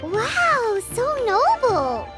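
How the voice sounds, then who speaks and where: A woman voicing a tiny, excitable, childlike fairy girl companion in a video game, blunt and squeaky. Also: very slow, music in the background, full character voice. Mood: amazed. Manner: exclamatory, speaks of herself in third person.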